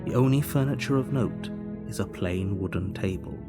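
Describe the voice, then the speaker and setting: An actor playing a grave, insistent narrator in a video game, telling the story in a measured, formal way. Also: male voice, fairly low pitch, loud music behind the voice, lightly acted. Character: grave, insistent male narrator urging a killing. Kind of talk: scripted narration.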